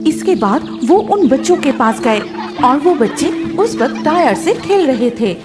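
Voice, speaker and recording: High-voiced woman storyteller over music. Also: big pitch swings, a little slow. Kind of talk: scripted story narration.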